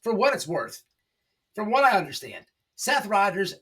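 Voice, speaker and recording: A man hosting an informal opinion commentary, speaking in a halting, repetitive, emphatic and sarcastic way. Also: high voice, big pitch swings, fairly bright tone, recorded from a distance. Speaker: online commentary show host.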